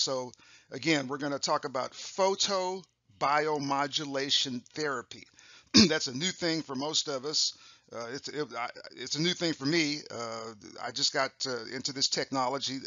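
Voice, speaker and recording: A man in his sixties, an optometrist, talking through a slide lecture with a raspy voice, clearing his throat often, in a chatty, upbeat way full of fillers and restarts. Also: medium pitch, sharp tone, no room sound, lo-fi sound. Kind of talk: recorded continuing-education lecture. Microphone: voice-over on slides.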